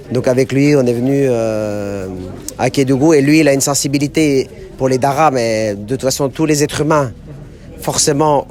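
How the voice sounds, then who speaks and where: A man born and raised in France, a charity member speaking hesitantly with many pauses and fillers in an on-site interview. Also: mid-range voice, darkish tone. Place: outdoors at a Quranic school.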